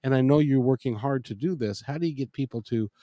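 A man hosting an interview, talking in an animated, joking way with lots of fillers. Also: mid-range voice, fast talker, no echo. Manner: animated, jokey, with many fillers. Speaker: host. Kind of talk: interview conversation.

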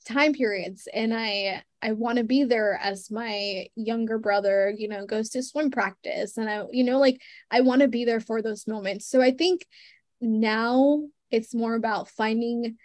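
Chatty young woman, crisp dry sound. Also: a little high, fairly even intonation, measured pace, no echo. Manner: chatty, lots of "like" and "you know", laughs often. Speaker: guest journalist. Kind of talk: casual interview chat.

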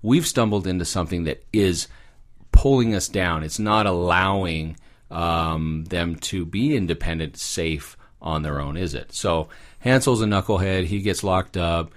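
A man's voice: low voice, a little slow. A man speaking as a guest expert, explaining fairy tales in a conversational way with little acted asides. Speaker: guest expert, psychology professor.

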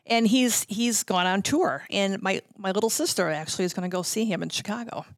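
A middle-aged American woman reminiscing casually as a podcast guest, telling stories in an animated way and often interrupting herself. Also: medium pitch, a little fast, no echo, studio quality. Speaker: podcast guest, former radio promotions worker.

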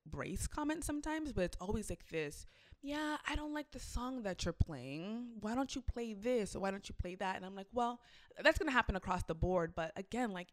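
A young woman who DJs, chatting casually and telling a story with lively shifts, acting out what people said. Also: mid-range voice. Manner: animated storytelling, acts out quotes. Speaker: club DJ. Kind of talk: casual conversation.

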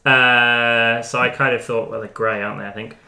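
A man's voice: mid-range voice, little pitch movement, moderate pace, bright, forceful tone. A man chatting casually, hesitant and self-deprecating about his own efforts, recorded in a reverberant room. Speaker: art challenge participant. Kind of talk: casual chat.

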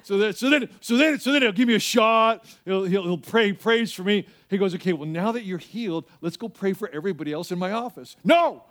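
A man preaching as a pastor in an animated, conversational way, repeating phrases for emphasis and telling humorous personal stories. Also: sits high, brisk, few pauses. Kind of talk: sermon with personal anecdotes and acted-out dialogue.

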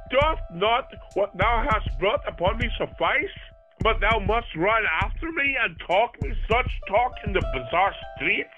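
Exasperated young male storyteller played by a man, high-pitched, dramatic archaic delivery for an audio drama, music underneath. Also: poor audio. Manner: dramatic first-person storytelling in archaic English. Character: aggrieved, exasperated young man recounting his misfortunes.

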